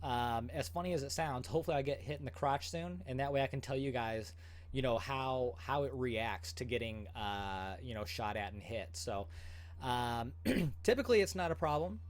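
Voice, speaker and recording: A man's voice: mid-range voice, expressive, normal speed. A man in his thirties hosting a casual livestream from home, chatting with many fillers and an upbeat tone. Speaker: goalie channel host.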